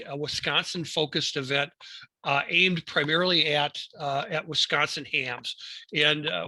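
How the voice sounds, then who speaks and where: Male American club presenter. Slightly higher-pitched, crisp bright tone, hesitant informal delivery with doubled-back phrases. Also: no echo. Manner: halting, repeats words and phrases, hesitant delivery. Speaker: amateur radio club presenter.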